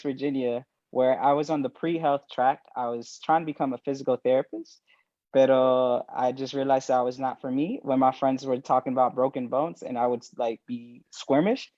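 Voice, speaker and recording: A man's voice: medium pitch, steady tone, moderate pace, dark tone, dry recording, highs cut off. A man from El Salvador who grew up partly in the US, telling his story casually and switching between English and Spanish. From El Salvador, moved to the United States at seven. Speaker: panel guest.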